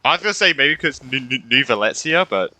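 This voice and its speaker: Bright, animated male gamer, chatty. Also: medium pitch, a little fast, slight background noise, rough recording. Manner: chatty, thinking out loud. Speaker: gamer, playthrough commentator. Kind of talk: casual gameplay commentary.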